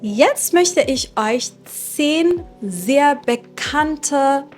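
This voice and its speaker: A young woman from Iran who teaches German, hosting her channel and presenting books in a clear, measured teaching style with occasional hesitations. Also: sits high, lively intonation, soft background music. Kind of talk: slow, clear teaching narration for learners.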